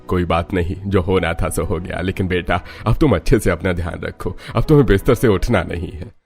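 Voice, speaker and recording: A man's voice: low voice, fairly quick, vocal fry, a little mellow. A man playing a plain-spoken middle-aged townsman in a cartoon story. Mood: consoling.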